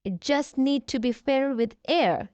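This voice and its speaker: A woman voicing a kindly, jolly father pig in a children's storybook, with a deliberate parental manner. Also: high voice, a little mellow, no room sound, voice pushed up.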